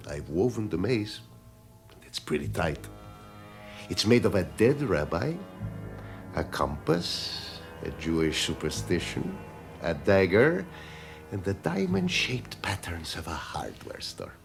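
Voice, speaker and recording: Gravelly, very deep, menacing crime boss. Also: male voice, sing-song, music in the background, full character voice. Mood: gloating. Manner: theatrical, mock-courteous, deliberate, dropping into hushed intensity. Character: menacing, dandyish male crime boss, vengeful and courteous. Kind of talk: 1980s radio drama acting.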